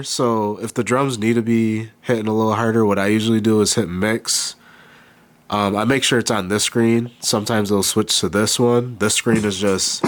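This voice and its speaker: Guy with a cold, kinda nasal, lowish voice, talking thru his beat while a track plays quietly. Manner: relaxed, halting, thinks aloud while working. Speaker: beatmaker demonstrating gear. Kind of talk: casual hands-on tutorial. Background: beat playing behind.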